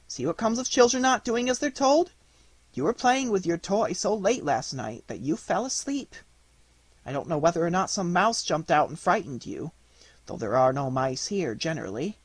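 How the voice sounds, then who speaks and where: A man's voice: medium pitch, vocal fry, breathy edge, no room sound, full character voice. A man voicing a scolding, anxious mother in a storybook, with a fussing, reproachful manner.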